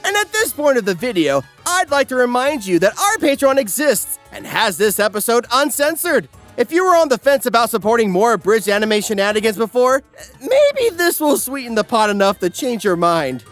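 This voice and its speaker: Bright, animated man narrating comic recaps. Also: very high-pitched, normal speed. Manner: sardonic, theatrical. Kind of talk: scripted comedic narration of an anime recap. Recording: music behind.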